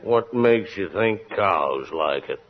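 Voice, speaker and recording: An actor playing a lazy, deadpan backwoods husband and father, in 1940s radio comedy. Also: sits low. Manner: deadpan, drawn-out. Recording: dull old radio recording.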